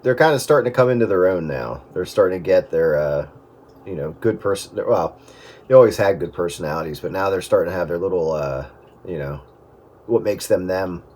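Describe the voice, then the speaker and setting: A man's voice: low-pitched, animated, brisk, darkish tone. A middle-aged man chatting casually over amateur radio in a rambling way, often restarting his sentences.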